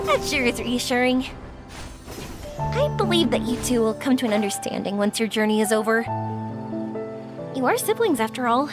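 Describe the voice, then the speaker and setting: Bubbly young game heroine, high voice, earnest n sentimental, music behind. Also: female voice, very animated, normal speed, pauses often. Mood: warm, curious. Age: young adult. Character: cheerful, sentimental young woman, earnest fireworks maker adventurer. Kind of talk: video game character dialogue. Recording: game dialogue over background music.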